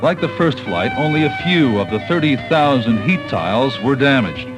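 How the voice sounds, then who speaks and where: A man's voice: medium pitch, animated, moderate pace, rough recording. A man with an American accent reads scripted documentary narration, recorded on a 1980s cassette tape. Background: background music.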